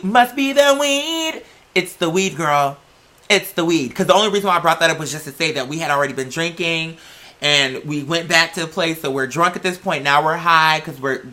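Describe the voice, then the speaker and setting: Sassy, bright-voiced comic storyteller. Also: mid-range voice. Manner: sassy, profane, animated, emphatic. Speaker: host telling comic anecdotes. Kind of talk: casual comic rant, storytelling.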